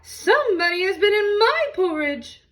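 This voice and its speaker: A woman's voice: very high-pitched, very animated, normal speed, a bit bright, voice pushed up, exaggerated voice. A woman voicing a prim, fussy mother bear with emphatic delivery in a storybook read-aloud. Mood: shocked. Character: prim medium-sized female Mama Bear.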